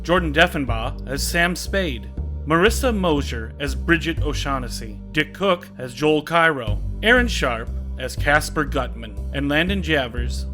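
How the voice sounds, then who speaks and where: A man announces production credits from a script. His voice is bright and a little high, and faint guitar music plays behind it. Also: expressive, measured pace.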